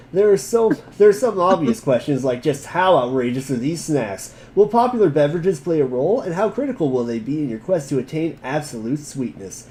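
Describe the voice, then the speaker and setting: A man's voice: on the high side, warm tone, slight background noise. A man narrating an amateur group dramatic reading in a jokey, theatrical way. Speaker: narrator and reader.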